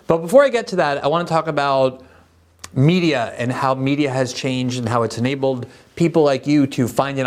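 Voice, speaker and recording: A man hosting an interview, welcoming his guest effusively and setting up his questions at length. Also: mid-range voice. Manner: enthusiastic, effusive, talks at length before asking. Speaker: interview host. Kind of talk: conversational interview.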